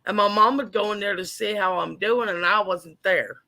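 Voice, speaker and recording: A woman in her fifties telling animated stories in a casual group chat. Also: medium pitch, moderate pace. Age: 50s. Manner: animated storyteller.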